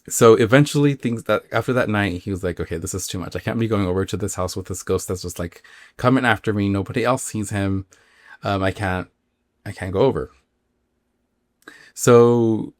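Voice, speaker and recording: A man with a fairly low voice tells a story in a casual, conversational way. His delivery is informal and halting, padded with filler words. The sound is very dry, with no room around it. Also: a little mellow, no echo. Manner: informal, halting, filler-heavy with acted-out quotes. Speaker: podcast host.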